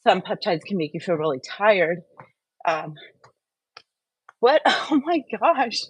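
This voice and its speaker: A woman's voice: medium pitch, sing-song, takes it slowly, slightly rough, no room sound. A woman hosting a casual livestream while walking on a treadmill, talking frankly and directly to her viewers through earbuds. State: warming up from exercise. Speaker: wellness channel host. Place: indoors at home on a treadmill.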